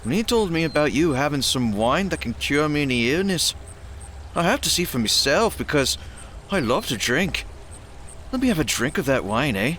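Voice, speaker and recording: A man's voice: fairly high pitch, very animated, moderate pace, fairly bright tone, slight background noise. A man playing a cocky, hot-tempered, hard-drinking champion fighter in an audio drama, brash, matey and swaggering.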